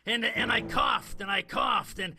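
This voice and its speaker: A man acting in an improvised radio soap as an earnest war veteran turned chummy investigator, speaking emphatically and haltingly. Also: very high voice, pushed and bright, a little hiss or hum, exaggerated voice. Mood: awed, grateful.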